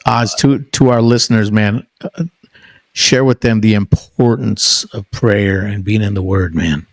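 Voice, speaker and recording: A man's voice: lowish voice, measured pace, no echo, rough recording. Chummy man in his sixties hosting. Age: 60s. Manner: chummy, eager, calls the guest bro. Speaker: podcast host. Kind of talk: casual interview chat.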